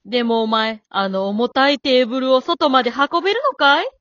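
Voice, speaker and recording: Radio drama grandmother, female amateur: elderly, high-pitched, teasing and doting, old-folk phrasing, close dry sound. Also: animated, normal speed, a bit bright, dry recording, dull audio. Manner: old-folk drawl with hearty chuckles.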